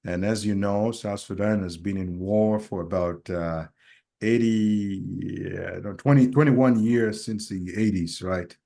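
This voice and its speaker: Low-voiced man, hesitant and reflective. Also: mellow. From grew up in Kenya. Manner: hesitant, reflective, many pauses and fillers. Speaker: podcast co-host. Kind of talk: casual conversational podcast.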